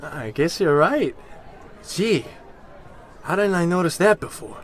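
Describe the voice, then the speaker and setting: A man's voice: a little high, animated, measured pace, a little hiss or hum. A man playing a goofy, boozy, needling barfly in an old-style radio comedy, speaking in period slang. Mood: dim, amused. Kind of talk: 1940s radio acting.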